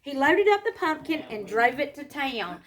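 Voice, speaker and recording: A woman reads a storybook aloud to children, pausing to explain simply and ask them questions. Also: high-pitched, sing-song, fairly bright tone. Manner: simple explanatory asides and questions to young listeners.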